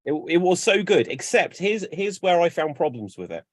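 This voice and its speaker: Animated young man telling stories. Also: sits high, moderate pace, dry recording, studio quality. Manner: animated storyteller, acts out bits. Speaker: panelist. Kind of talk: casual chat.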